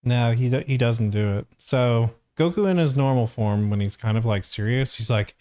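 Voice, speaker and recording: A man hosting a reaction video, giving casual critique in a halting, thinking-aloud way. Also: mid-range voice, a little mellow. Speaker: reaction video host, voice actor.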